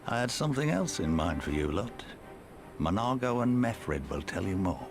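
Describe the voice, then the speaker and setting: A businesslike rebel commander speaks in a low voice, brisk and practical. It is fantasy game dialogue with music clearly heard behind it. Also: male voice, very animated. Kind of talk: scripted video game dialogue.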